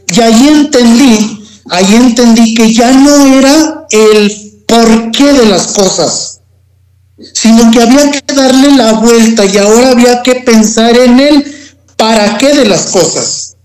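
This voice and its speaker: A man's voice: sits high, darkish tone, rough recording. A man with a Mexican accent giving a personal testimony in conversation, reflective and repeating phrases for emphasis. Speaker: guest telling a personal testimony.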